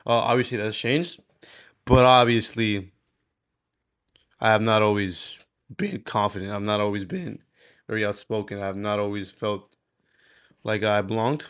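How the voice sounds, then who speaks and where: Young man, low smooth voice, casual video diary, candid, rambling, slangy with swearing. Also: fairly even intonation, unhurried, long pauses, a bit bright. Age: 20s. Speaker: vlogger.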